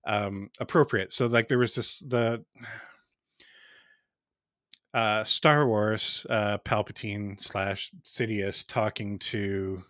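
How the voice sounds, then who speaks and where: Podcast host, man, smooth tone, bright and forward, talks in rambling asides with little laughs, dry close sound. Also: on the low side, fairly even intonation, slow, few pauses, no echo. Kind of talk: casual chat.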